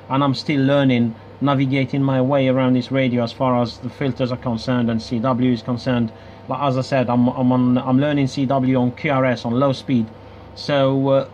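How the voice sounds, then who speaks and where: A man's voice: mid-range voice, a little mellow, a little hiss or hum. Dull-sounding man talking on ham radio. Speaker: amateur radio operator. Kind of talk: casual ham radio contact chat. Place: radio club station.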